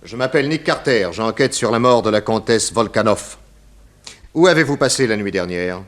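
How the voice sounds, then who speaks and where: A police detective in an old French radio mystery. He is brisk and businesslike, firing off questions with little hesitant interjections. His manner stays patient and faintly wry. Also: on the low side, animated, fairly bright tone. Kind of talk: 1960s French radio crime drama acting.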